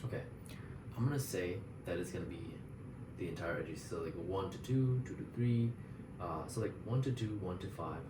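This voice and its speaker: Deep-voiced young man, soft, far from mic. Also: brisk. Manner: soft-spoken, half-whispered, mumbling through math steps. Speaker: student. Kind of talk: ASMR study-along, thinking aloud. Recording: echoey room, distant mic, loud background hiss.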